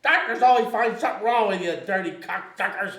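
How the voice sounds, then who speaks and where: High-pitched, cranky, foul-mouthed shopkeeper. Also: male voice, fairly quick, a bit bright. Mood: grumbling. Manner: profane, cantankerous, barking insults. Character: foul-mouthed, cranky, very thin Canadian bait shop owner. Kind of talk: comedic screenplay table read. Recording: echoey room, distant from mic.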